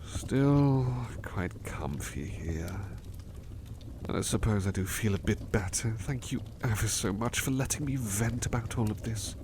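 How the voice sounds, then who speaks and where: Male actor as weary, loving manservant; ASMR intimacy, unhurried, courteous, hesitant delivery, slightly noisy ambience. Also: lowish voice, natural voice. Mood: affectionate, cosy. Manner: hesitant, halting, polite old-fashioned phrasing, affectionate. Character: overworked, devoted, weary butler resting affectionately on partner's lap. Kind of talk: ASMR roleplay, intimate personal attention.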